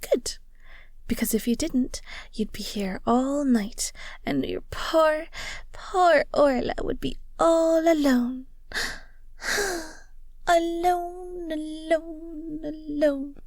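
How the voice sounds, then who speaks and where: A woman's voice: high-pitched, very slow, no echo, heavily acted. Woman playing affectionate young werewolf gf, intimate audio rp, whispery and playful, close dry sound, takes her time. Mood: playful, pouty.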